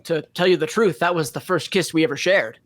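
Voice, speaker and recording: A man's voice: fairly high pitch, a little fast, a bit bright, dry recording. A man reading a character's lines aloud in a joking group story reading. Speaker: character reader in a group fan fiction reading. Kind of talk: acted dialogue reading with joking asides.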